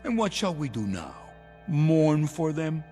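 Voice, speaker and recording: Paranoid, ruthless general, wide-swinging delivery. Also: male voice, a little high, sing-song, dark tone. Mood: cold, brooding. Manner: theatrical, menacing, deliberate delivery. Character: paranoid, ruthless male revolutionary general, bitter and commanding. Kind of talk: 1960s television drama acting. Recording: old TV soundtrack, echoing, music underneath.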